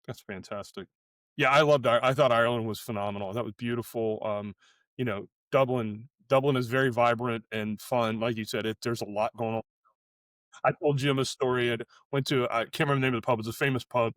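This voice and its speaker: A man's voice: medium pitch, fairly even intonation, fairly quick, no room sound. A man, co-hosting a travel podcast, talking in an enthusiastic, gushing way with frequent restarts. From United States. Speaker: podcast co-host. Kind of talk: casual interview chat.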